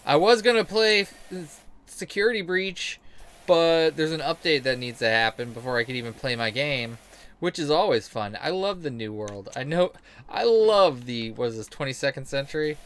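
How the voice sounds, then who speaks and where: A man's voice: a little high, lively intonation, normal speed, fairly bright tone, clean tone. A man in a casual, rambling game commentary, sweary, self-deprecating and sarcastic. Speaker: game streamer telling stories while playing.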